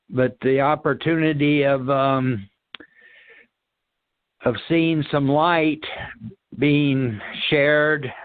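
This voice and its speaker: A man shares spiritual reflections off the cuff. He speaks very slowly at a middling pitch, pausing often as he searches for words. The audio is narrow and phone-like. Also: dry recording. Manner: halting, searching for words, long pauses. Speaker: discussion participant.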